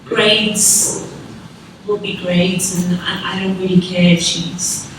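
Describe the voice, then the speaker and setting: A woman's voice: on the low side, level tone, slow, background noise. A woman, a parent, asking a hesitant question from the audience at a conference, searching for her words. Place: conference hall. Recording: echoey hall, distant mic.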